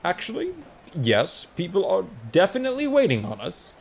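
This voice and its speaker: Man acting an anxious human assistant, lively pitch. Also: moderate pace, slight background noise, exaggerated voice. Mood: nervous, polite. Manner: hesitant, polite, fumbling. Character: anxious, deferential human male assistant to a god. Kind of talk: comic fantasy audiobook character.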